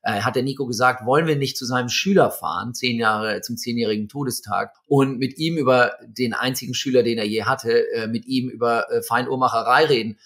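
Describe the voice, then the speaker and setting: A man in his fifties, a watch magazine editor and guest, telling stories in a relaxed interview with many fillers. He speaks over a video call from a room at home. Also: medium pitch, a little fast, hardly any pauses. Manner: hesitant, many fillers, storytelling. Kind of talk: conversational interview. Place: home room with bookshelves and string lights.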